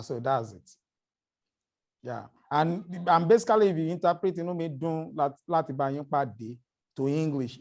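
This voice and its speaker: A man's voice: on the high side, a little mellow. A man whose first language is Yoruba, explaining a phrase in a casual group chat, carefully and with many restarts. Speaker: panel guest.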